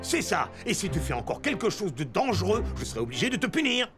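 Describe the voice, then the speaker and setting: A woman plays a male firefighter in a children's cartoon. The delivery is animated and swings in pitch, courteous but firm, over prominent music. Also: quick pace, close to an everyday voice. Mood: stern. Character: male firefighter, polite yet stern with children. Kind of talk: French cartoon dub dialogue for children.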